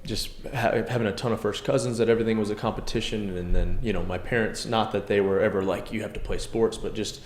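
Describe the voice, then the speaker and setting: Earnest male co-host with a big, loud voice in a roomy space. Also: lowish voice, fast talker, few pauses. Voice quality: loud, carrying voice. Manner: earnest, energetic, self-reflective. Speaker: podcast co-host. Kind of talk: casual devotional discussion. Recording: echoey room with faint noise.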